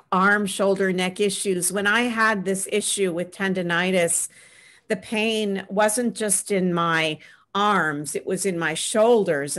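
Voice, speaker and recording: A middle-aged woman on a video call panel, giving earnest practical advice and often pausing with "um". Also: mid-range voice, a bit bright. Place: small home room with framed pictures. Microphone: webcam on a video call.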